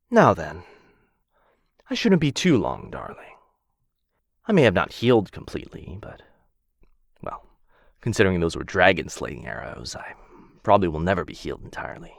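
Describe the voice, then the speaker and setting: Man voicing a deep, slow, possessive dragon. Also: sing-song, gravelly, no echo, clean studio sound, exaggerated voice. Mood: confident, affectionate. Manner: mock-polite, coaxing, theatrical, condescendingly affectionate. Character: possessive male dragon, courteous captor doting on human pet. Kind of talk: boyfriend ASMR fantasy roleplay.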